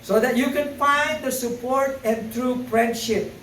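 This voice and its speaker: A man's voice: very high-pitched, steady tone, measured pace, fairly bright tone, a little hiss or hum. A man preaching a sermon, hesitant with many fillers, then building to emphatic repeated phrases. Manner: hesitant with frequent fillers, rising to emphatic repetition. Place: church sanctuary. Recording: echoey room, voice from far off.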